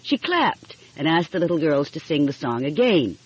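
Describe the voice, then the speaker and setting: Lady narrating an audiobook, kinda husky, pitch moves around a lot, bit muffled. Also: very animated, moderate pace. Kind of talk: scripted storybook narration with character dialogue.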